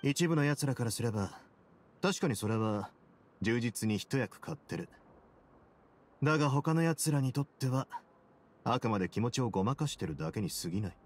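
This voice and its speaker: A man playing a shrewd, self-assured prison-fortress duke in a game, speaking casually and with measured assurance. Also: mid-range voice, lively intonation, slight background noise, lightly acted. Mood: assured, reflective. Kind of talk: game dialogue acting.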